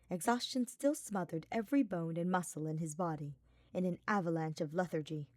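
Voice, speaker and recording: A woman's voice: lowish voice, darkish tone, no room sound. A woman reading scripted fiction aloud as a narrator. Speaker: audiobook-style fan fiction narrator.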